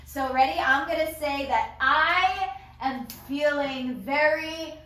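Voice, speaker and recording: Woman, high voice, encouraging group leader, distant mic, faint noise. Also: slow, fairly bright tone, very echoey. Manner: encouraging, enthusiastic. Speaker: facilitator reading stage directions at a table read. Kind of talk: group leading, narrating stage directions. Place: echoey room, recorded from afar.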